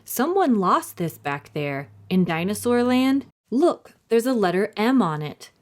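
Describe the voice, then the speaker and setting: A woman's voice: medium pitch, big pitch swings, a little slow, pitched above the performer's own voice. A woman voicing a cautious, wondering young boy in a children's storybook reading.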